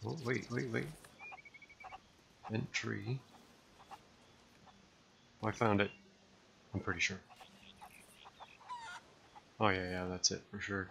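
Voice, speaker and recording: A man with a lowish, gravelly voice chats casually during gameplay. He speaks in slow, short muttered bits, with clear noise and ambience behind him. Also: bright, forceful tone. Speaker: gamer recording a walkthrough.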